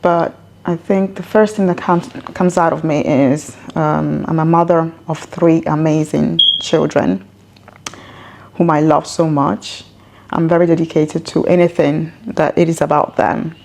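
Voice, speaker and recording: A woman's voice: low voice, fairly even intonation, measured pace, creaky, a little mellow, slight background noise. A woman around her thirties or forties, from Ghana and Italy, with a mixed, partly American accent, answering in a conversational interview. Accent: multicultural mixed accent with some American. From born in Ghana, grew up in Italy. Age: 30s to 40s. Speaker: interview guest. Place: her own home, indoors.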